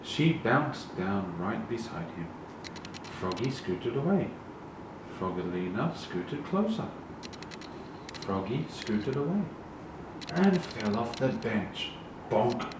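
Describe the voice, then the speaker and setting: A man reading a children's picture book aloud in a deliberate, animated way, with emphasized sound words. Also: fairly low pitch. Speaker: storybook reader. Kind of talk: expressive children's read-along narration. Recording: very echoey, distant, noisy room.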